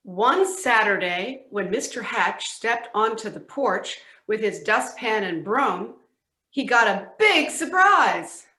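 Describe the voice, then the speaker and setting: A woman narrating a scripted storybook tale with deliberate, expressive emphasis. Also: fairly high pitch. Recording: echoey room.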